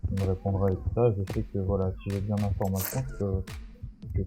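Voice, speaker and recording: A man's voice: sits low, level tone, fast talker, warm tone. Stuffy-voiced man with music behind. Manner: hesitant, many fillers and restarts. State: stuffy with a cold. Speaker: gaming YouTuber. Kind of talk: casual Minecraft commentary.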